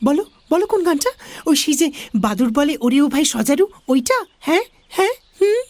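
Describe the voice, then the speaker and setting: An eager, insistent oddball singer in a comic audio tale. The voice is high and very lively, recorded close and dry. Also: female voice, normal speed, no room sound. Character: eager, pestering bald singer in a children's tale. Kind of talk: audio story character acting.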